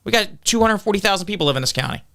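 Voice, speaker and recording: Loud, combative fast-talking man. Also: high-pitched, lively intonation, creaky, clean tone. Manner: combative, argumentative, lectures on legal details. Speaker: political candidate guest. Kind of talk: defensive podcast interview.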